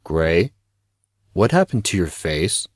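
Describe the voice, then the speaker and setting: A man's voice: low-pitched, big pitch swings, slow pace, dry recording, studio quality, heavily acted. A man playing a weary, loyal teenage boy in an audio drama, talking in a casual but serious way. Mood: concerned.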